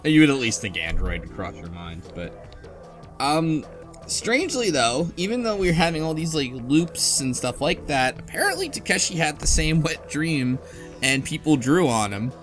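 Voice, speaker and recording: A man hosting a casual podcast discussion of a game story, talking in an animated, argumentative way. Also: on the high side, moderate pace. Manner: animated, argumentative, quotes characters while explaining. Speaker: podcast host. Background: music behind the voice.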